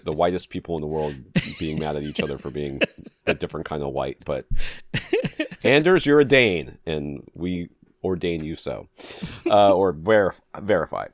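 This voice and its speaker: A man's voice: low-pitched, lively intonation, normal speed, no room sound, studio quality. A man co-hosting a podcast, reading listener questions aloud with joking asides. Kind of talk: casual podcast chat.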